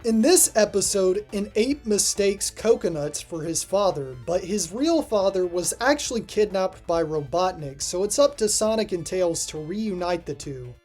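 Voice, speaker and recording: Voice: medium pitch, animated, normal speed, hardly any pauses, a little mellow. Offhand video reviewer with music behind. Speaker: host of a video ranking cartoon episodes. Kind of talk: casual scripted review commentary. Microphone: voice-over recording.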